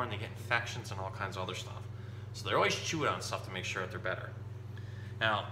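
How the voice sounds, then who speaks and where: Bright, forceful man presenting in an echoey room. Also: mid-range voice. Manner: informal, explanatory. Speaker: zookeeper presenting animals and reading stories to children. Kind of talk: informal animal lesson and picture-book read-aloud. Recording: roomy echo with background noise and hum.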